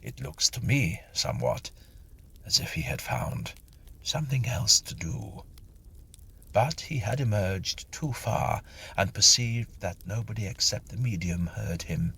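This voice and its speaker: A man reading a whimsical ghost story as an audiobook narrator, with measured, dramatic phrasing. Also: on the low side, expressive, gravelly, a bit bright, breathy edge.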